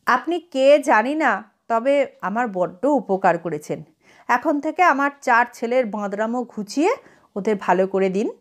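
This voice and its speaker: A woman's voice: on the high side, animated, measured pace. A woman storyteller voicing an exasperated mother of four unruly boys in a pleading, scolding manner. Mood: grateful.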